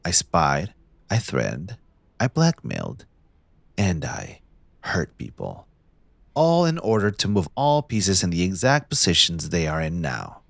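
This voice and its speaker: A man playing a possessive, smug young mafia heir in a scripted audio roleplay, speaking in a theatrical, condescending, deliberate villain's drawl. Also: deep voice, wildly swooping pitch, gravelly, fairly bright tone, no echo, exaggerated voice. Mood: obsessive, confiding.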